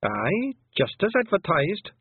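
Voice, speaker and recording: A tiny Scottish pixie chief, put on by a man reading a storybook bounces along high above his own pitch, cheerful and boisterous. The voice is husky and booming, and it comes through a tinny, phone-like sound. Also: huge pitch swings, slow, no echo, exaggerated voice.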